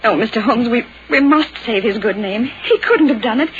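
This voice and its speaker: A woman plays a devoted, anxious young Englishwoman in a 1940s radio drama. Her genteel voice sits a little high and sounds earnest. The recording is dull, with faint hiss. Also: expressive, moderate pace, lightly acted. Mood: anxious, pleading. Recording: muffled, hissy old radio recording.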